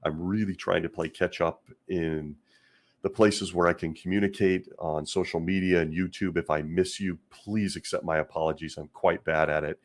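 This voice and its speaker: A man hosting a casual commentary vlog, his voice scratchy and hoarse from illness, talking in a wry, sarcastic way with frequent chuckles. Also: deep voice, steady tone, normal speed. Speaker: host.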